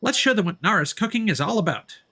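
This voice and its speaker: Man putting on a high, chirpy little fairy companion. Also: expressive, quick pace, sharp tone, husky, full character voice. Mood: cheerful, eager. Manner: refers to self in third person, exclamatory. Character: small chirpy floating fairy companion, eager and childlike. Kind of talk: playful voiced reading of game dialogue.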